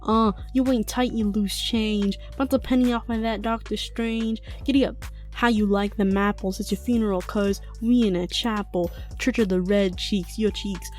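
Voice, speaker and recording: A woman's voice: mid-range voice, steady tone, moderate pace, a little mellow, close to an everyday voice. A woman voicing a cocky, swaggering young male rapper in a comic fan dub, rapping playfully. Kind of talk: comic rap performance. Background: music.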